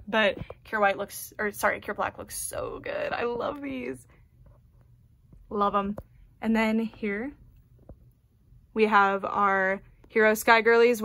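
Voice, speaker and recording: A woman's voice: mid-range voice, fairly bright tone, dry recording. A young American woman with an American accent giving a chatty, gushing tour of her doll collection, full of ums and likes. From United States.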